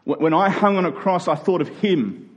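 A male preacher with a fairly high voice delivers a sermon to his congregation. He speaks emphatically, posing rhetorical questions and repeating key phrases to drive them home. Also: lively intonation, normal speed, dull audio.

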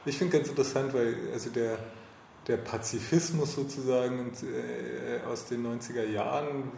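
A man's voice: medium pitch, fairly even intonation, vocal fry, darkish tone. Guy doing a casual interview, keeps rephrasing, echoey room with noise behind him. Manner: halting, rephrases and repeats himself. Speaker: podcast interviewer. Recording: echoey, noisy room with ambient sound.

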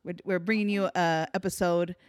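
An American woman hosting a casual podcast, telling stories in an animated, playful way. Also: fairly low pitch, moderate pace, no room sound, studio quality. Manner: animated, playful, laughs often. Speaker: podcast host. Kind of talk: casual chat, storytelling among sisters. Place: at home.

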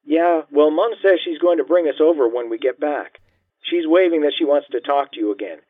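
A man's voice: on the high side, a little fast, dry recording, pitched above the performer's own voice. Man as offhand teenage son, tinny phone. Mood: casual, easygoing. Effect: telephone filter. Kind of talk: audiobook character dialogue. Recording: thin, band-limited phone-line sound.

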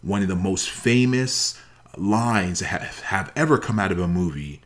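A man with a fairly low voice delivers a motivational talk. He speaks emphatically, circling back to key phrases and repeating them to press them on the listener. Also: expressive, unhurried.

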